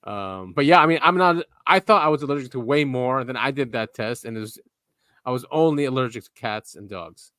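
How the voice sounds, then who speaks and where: Man, podcast co-host, mid-pitched, relaxed, rambling with fillers, trailing sentences, dry close sound. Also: fairly quick, smooth, no room sound. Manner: relaxed, lots of "yeah" and "um", drifting trailing-off sentences. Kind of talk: casual chat.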